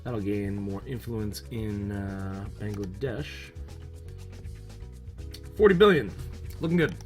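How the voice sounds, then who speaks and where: Hoarse male gaming host, musing aloud, relaxed play-along commentary. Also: fairly low pitch, slow pace, faint music behind. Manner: thinking aloud, musing while playing. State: losing his voice. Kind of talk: casual let's-play commentary.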